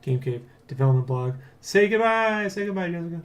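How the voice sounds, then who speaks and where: A man hosting a development blog in casual, excitable, rambling chat, jokily boastful and swearing freely. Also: sits high, brisk. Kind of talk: casual vlog chat, addressing viewers.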